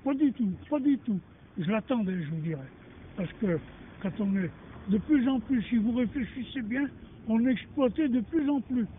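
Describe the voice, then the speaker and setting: An older man with a strikingly high voice speaks bluntly and indignantly on the street. The sound is thin and phone-like, with traffic hum underneath. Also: lively intonation, moderate pace. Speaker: passer-by interviewed on the street. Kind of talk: street interview. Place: outdoors by a street car park. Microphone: handheld mic held by interviewer.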